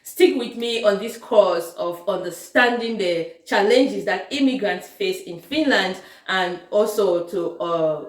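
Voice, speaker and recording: Woman interview host, echoey room. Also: medium pitch. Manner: frequent short backchannels, hesitant with fillers. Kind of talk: interview hosting.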